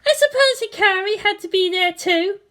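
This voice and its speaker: Woman voicing a haughty, very high-pitched teenage girl pilot. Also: lively intonation, moderate pace, bright, forceful tone, full character voice. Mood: sarcastic. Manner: boastful, scornful, indignant.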